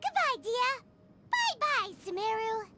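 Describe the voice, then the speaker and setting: Squeaky, very high-pitched tiny fairy girl. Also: big pitch swings, takes it slowly, exaggerated voice. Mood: fond farewell. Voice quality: squeaky, childlike. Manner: bubbly, refers to self in third person. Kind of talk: video game character acting. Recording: loud music behind the voice.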